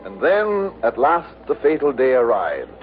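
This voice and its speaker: A man's voice: mid-range voice, huge pitch swings, unhurried. A man giving dramatic scripted narration for a mid-century radio show. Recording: tinny old radio recording with hiss.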